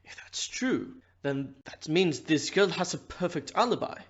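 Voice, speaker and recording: A man's voice: a little high, expressive, measured pace, dull audio. A man playing a blunt, impatient, incredulous ship's captain in an audio play. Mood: puzzled, insistent.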